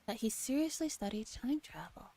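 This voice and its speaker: A woman's voice: fairly high pitch, lively intonation, normal speed, mellow. A woman voicing a stern, proud young female scientist from a visual novel, firm and argumentative. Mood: grave, resolute. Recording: music behind the voice.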